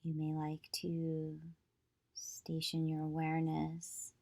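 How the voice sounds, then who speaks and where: A woman guides a meditation in a low, mellow voice with a very flat, even pitch. She speaks in short, soothing phrases with long pauses between them, like a yoga teacher leading deep relaxation.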